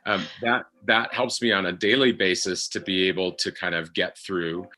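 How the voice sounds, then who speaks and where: A man speaking haltingly and reflectively about himself in a podcast discussion, an actor on the panel. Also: deep voice, fairly even intonation, bright, forceful tone. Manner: hesitant, halting.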